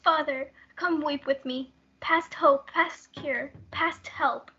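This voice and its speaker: A desperate, defiant girl in love, acted by a young woman in a stage-play reading. Her voice is high and bright. The recording is slightly muffled. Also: steady tone, slow pace, full character voice. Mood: despairing.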